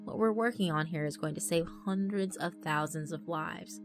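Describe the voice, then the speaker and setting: A woman plays a slick male corporate assistant in a story reading. He is polite, confident and businesslike, with insincere courtesy. Music runs softly underneath. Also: on the low side, normal speed, close to an everyday voice. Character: smooth, formal, insincere male company assistant.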